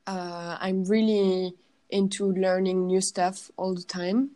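A woman with a French accent, a guest in a casual interview, often pausing and searching for words. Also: medium pitch, flat delivery, warm tone. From France.

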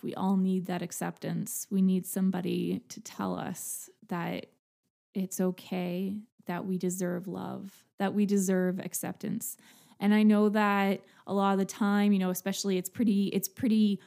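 A young adult woman hosting a podcast, talking to listeners in an earnest, halting personal monologue. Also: mid-range voice, vocal fry. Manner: earnest, halting, soft-spoken. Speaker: podcast host.

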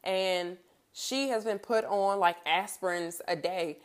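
A woman's voice: mid-range voice, slow pace. Candid thirty-year-old woman chatting casually. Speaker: podcast host. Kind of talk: candid personal monologue, casual chat.

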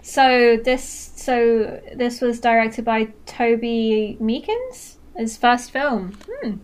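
A woman's voice: on the high side, expressive, slow pace. Casual chat from a young woman with a faint mancunian accent, talks in loose rambling bursts, co-host vibe. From Manchester, England. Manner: chatty, rambling, with frequent fillers and laughs. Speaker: podcast co-host. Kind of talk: casual film discussion.

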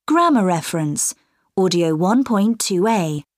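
Woman calling out track titles, fairly low voice that moves around a lot. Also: very animated, unhurried, dry recording, studio quality. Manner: crisp, formal announcements. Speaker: track announcer. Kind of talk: announcing track numbers. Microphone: dry studio mic.

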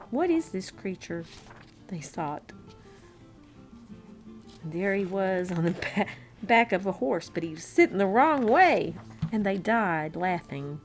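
A woman reading a children's story aloud in an expressive, unhurried, amused manner, with chatty asides. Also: medium pitch. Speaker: children's story-time reader. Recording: classical violin music bed. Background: classical violin concerto background track.

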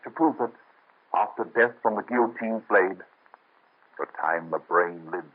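An actor playing an earnest, anguished young physician in an old radio drama. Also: male voice, fairly low pitch, moderate pace, husky. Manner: earnest, melodramatic. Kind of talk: 1930s radio drama acting. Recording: tinny old radio recording.

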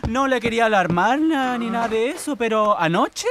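An actress playing a timid, deferential housemaid from the rural south in a radio drama, with a Chilean accent and a hesitant, stammering delivery. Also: female voice, a little high, expressive, normal speed, close to an everyday voice. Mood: nervous, confiding. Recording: radio drama with music underneath.

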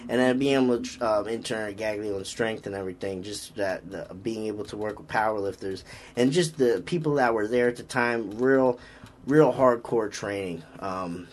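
A man's voice: fairly low pitch, normal speed. A man with a Boston accent, a strength coach chatting casually on a podcast, rambling with lots of fillers and restarts. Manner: rambling, frequent fillers and restarts, upbeat about lifting.

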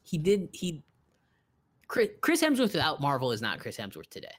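A man co-hosting a podcast, chatting casually about films in an excitable, slangy way. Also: fairly high pitch, normal speed. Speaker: podcast co-host. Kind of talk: casual film chat.

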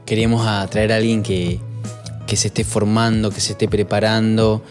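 A man's voice: lowish voice, flat delivery, moderate pace, a little mellow. A young man with an Argentine accent co-hosts a podcast. He talks in a casual, conversational way over faint background music. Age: 20s. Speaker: podcast co-host. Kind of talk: casual chat, interviewing.

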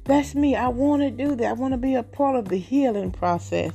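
A woman in her late fifties with a Southern US accent. She speaks in an earnest, rambling way. Her voice is dark and gravelly, and faint instrumental music plays behind her. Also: fairly high pitch, brisk. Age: 50s. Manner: earnest, rambling, warm-hearted testimony. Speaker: podcast host. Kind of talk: casual talk.

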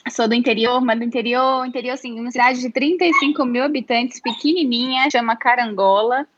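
A woman's voice: on the high side, fairly even intonation, fairly quick, no echo. Mineiro-accented woman, dull dry home recording. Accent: Mineiro Brazilian Portuguese. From Minas Gerais, Brazil. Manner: friendly, slightly nervous, many fillers. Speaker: podcast guest. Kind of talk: casual interview. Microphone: home office setup.

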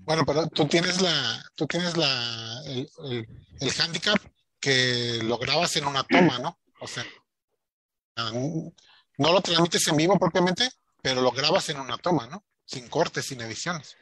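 A man's voice: fairly high pitch, a little slow, sharp tone. A man hosting a podcast in casual chat, rambling and filled with filler words. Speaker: podcast host.

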